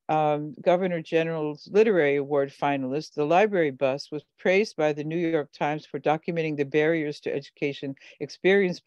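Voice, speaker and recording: A woman's voice: deep voice, darkish tone, no room sound, muffled recording. A woman hosting an online workshop over a video call, introducing a speaker in a hesitant way with many fillers and restarts. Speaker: workshop host.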